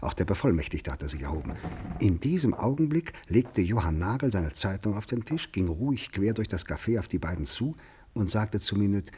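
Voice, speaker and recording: Low-voiced male radio play narrator. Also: very animated, fairly quick, mellow, slight background noise. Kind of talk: dramatic scripted literary narration for radio play.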